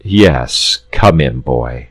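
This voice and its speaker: A man's voice: fairly low pitch, expressive, slow, dry recording, pitched above the performer's own voice. A man reading a story, voicing a kindly, formal knight with old-fashioned phrasing. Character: kindly, solemn knightly saint, adult man.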